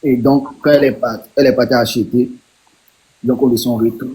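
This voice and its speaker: A man on a live stream, telling how his younger sister went missing, speaking haltingly and repeating words. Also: medium pitch, fairly even intonation, warm tone, slightly rough, rough recording.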